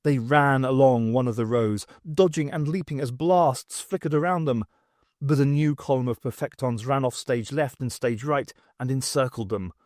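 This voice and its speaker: A man's voice: mid-range voice, moderate pace, a little mellow, dry recording, clean studio sound. A man reading scripted story narration as the narrator of an audiobook.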